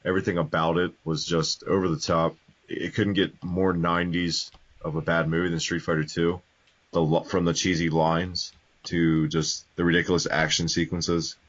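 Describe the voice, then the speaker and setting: A man's voice: sits low, flat delivery, normal speed, a bit bright. A man co-hosting a casual podcast, laid-back and opinionated, swearing casually. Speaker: podcast co-host. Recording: dull lo-fi audio.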